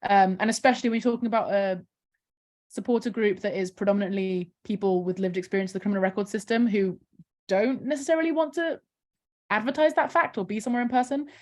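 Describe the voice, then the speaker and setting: A woman's voice: mid-range voice, steady tone, fast talker, darkish tone. Woman, charity campaigner, casual chat, lots of fillers, video call. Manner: chatty, many fillers like "um" and "kind of". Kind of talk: casual video call interview, screen-share walkthrough.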